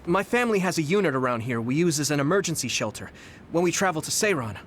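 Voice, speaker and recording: A man's voice: a little high, big pitch swings, fast, fairly bright tone. A man playing an earnest, polite young rookie soldier in an anime game, with some music behind him. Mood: concerned. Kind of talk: English anime game voice acting.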